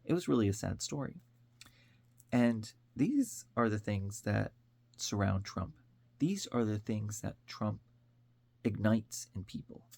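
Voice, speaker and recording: A man's voice: lowish voice, animated, takes it slowly, gravelly, long pauses, darkish tone. An American man from upstate New York giving an informal opinion monologue as an online commentator, speaking in an earnest, conversational way. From upstate New York, United States.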